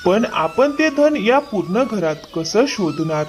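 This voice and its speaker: A man's voice: high-pitched, very animated, takes it slowly, a little hiss or hum, heavily acted. A man playing a pliable, dim-witted grown son in a cartoon moral tale. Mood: uncertain, conspiring. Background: music.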